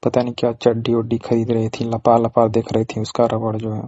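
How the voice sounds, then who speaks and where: A man's voice: medium pitch, completely flat delivery, fairly quick, mellow, no room sound, close to an everyday voice. A man playing a flirtatious, coyly suggestive pizza delivery man in a casual phone call skit, speaking colloquially and hesitantly. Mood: teasing. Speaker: actor in staged prank-style phone call skit. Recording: muffled lo-fi phone call recording.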